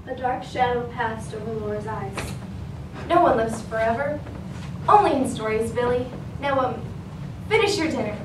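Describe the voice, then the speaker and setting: Teen girl acting gentle mother, high voice, scripted theatrical delivery, distant mic. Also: normal speed, long pauses, close to an everyday voice. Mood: gentle, probing. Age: teenager. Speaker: student performer and narrator. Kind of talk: theatrical scripted narration and dialogue. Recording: echoey stage, distant mic, faint noise.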